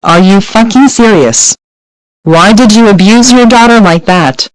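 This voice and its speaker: A woman's voice: medium pitch, a little slow, no room sound, close to an everyday voice, rough recording. A woman doing a furious cursing female clone. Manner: yelling accusations, cursing.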